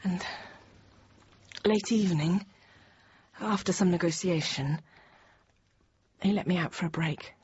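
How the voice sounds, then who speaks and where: An actress playing an anxious, tender thirty-year-old infant teacher, confiding her account in halting, reflective phrases in a radio drama. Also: lowish voice, darkish tone, husky. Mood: tense, weary. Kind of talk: radio drama monologue, intimate first-person narration. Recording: dull radio sound with faint noise.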